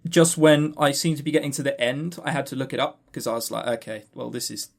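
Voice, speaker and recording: A man's voice: medium pitch, fairly even intonation, fast, vocal fry. A man co-hosting a podcast, giving casual, opinionated game reviews in a blunt, sweary, scornful way with stammered emphasis. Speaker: podcast co-host.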